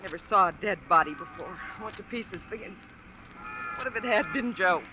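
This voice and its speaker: An American actress playing a defiant, distraught working-class wife in a 1950s radio drama, insistent and defensive in plain speech. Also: female voice, medium pitch, brisk, lightly acted. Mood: agitated, hostile. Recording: noisy, muffled old radio recording.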